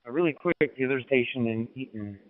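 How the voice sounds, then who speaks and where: A man's voice: medium pitch, a little fast, very noisy. A man chatting casually as an amateur radio operator, easygoing and encouraging. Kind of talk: casual ham radio chat. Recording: tinny narrowband radio transmission.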